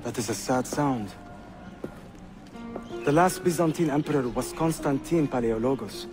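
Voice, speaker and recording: A man's voice: medium pitch, moderate pace, music in the background, natural voice. An actor playing a shrewd, resolute young Ottoman prince in a video game, speaking formally and precisely. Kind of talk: video game cutscene acting.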